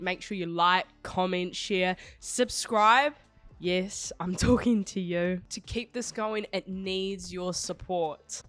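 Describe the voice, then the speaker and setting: A woman's voice: lowish voice, a bit bright. A woman hosting a podcast in a conversational interviewing style. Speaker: podcast host.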